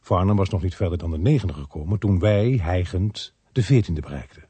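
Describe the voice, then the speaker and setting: An actor narrating a radio play in the first person, with a husky, dark voice. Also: male voice, low voice, fairly quick, crowd noise, close to an everyday voice. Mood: dry storytelling.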